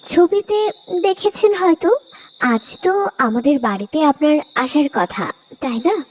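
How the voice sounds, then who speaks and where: Woman as high-pitched eerie young ghost woman. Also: very animated, moderate pace, darkish tone. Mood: mysterious, coy. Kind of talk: horror cartoon voice acting.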